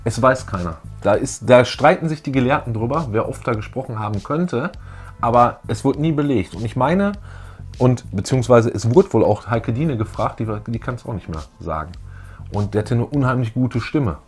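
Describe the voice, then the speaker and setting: A man's voice: mid-range voice, animated, moderate pace, slightly rough, faint music behind. A middle-aged man co-hosting a fan show, chatting casually with emphatic enthusiasm. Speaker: co-host of a retro fan show. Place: small indoor room with collectibles.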